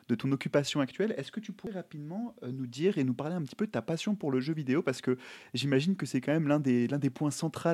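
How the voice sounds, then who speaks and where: A man's voice: mid-range voice, darkish tone. A man hosting a livestreamed interview, speaking with enthusiasm and many hesitations. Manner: enthusiastic, many fillers and restarts. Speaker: host.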